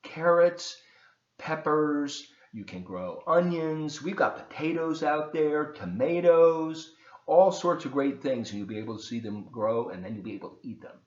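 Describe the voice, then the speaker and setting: A man reading aloud to children as a volunteer storyteller, expressive and encouraging, with chatty asides. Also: on the high side, a little slow, reverberant, dull audio.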